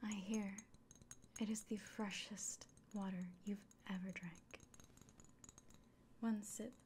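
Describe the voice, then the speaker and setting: A kindly elven innkeeper played by a woman speaks in a soft, breathy whisper in an ASMR fantasy roleplay. She is earnest and reassuring, keeping an even, narrow melody as she explains things unhurriedly. A faint ambient noise sits behind her. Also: mid-range voice, slow, natural voice. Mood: gentle, reverent.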